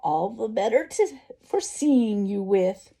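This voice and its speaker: A woman's voice: mid-range voice, lively intonation, takes it slowly, dark tone, voice pushed up. Woman putting on a sly storybook big bad wolf. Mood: wobbly, pretending. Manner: growling, sly, menacing. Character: sneaky, hungry big bad male wolf. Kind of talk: children's storybook read-aloud.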